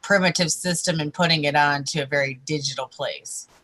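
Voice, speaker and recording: A woman's voice: sits low, steady tone, a little fast, bright, forceful tone, poor audio. A woman co-hosting an online talk show, following along agreeably with short replies. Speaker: co-host.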